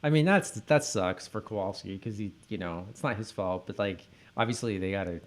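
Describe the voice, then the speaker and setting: Halting man, podcast co-host. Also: lowish voice. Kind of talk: casual conversational discussion.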